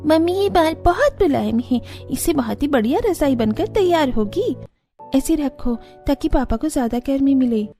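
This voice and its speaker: A woman's voice: high-pitched, animated, normal speed, warm tone, soft background music, voice pushed up. A woman voicing an affectionate, eager small child in a cartoon story, speaking sweetly. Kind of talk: Hindi cartoon story voice acting.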